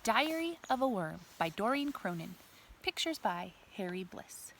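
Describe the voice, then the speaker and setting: A woman's voice: mid-range voice, very animated, unhurried, very noisy. A woman reading a picture book to children expressively, with simple explanations and questions to her young listeners. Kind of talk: read-aloud storytime with asides to young listeners.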